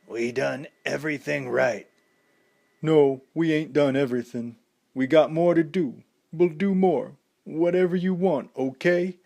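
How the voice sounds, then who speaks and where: A man's voice: medium pitch, creaky, husky, exaggerated voice. A man voicing a tearful, pleading young boxer. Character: grieving young male boxer, tough and tender.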